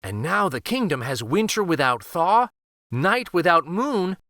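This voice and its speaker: A man's voice: on the high side, sing-song, a little slow, pushed and bright, no echo, clean studio sound, voice pushed up, full character voice. A man voicing a polite, brave young peasant woman in a fairytale read aloud. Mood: earnest. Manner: polite, pleading.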